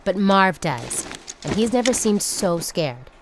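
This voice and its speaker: A woman's voice: medium pitch, very animated, measured pace, background noise. A woman playing a brainy young inventor in a sci-fi audio drama, precise and wry. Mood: matter-of-fact. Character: brainy, matter-of-fact young female inventor. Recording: music behind the voice.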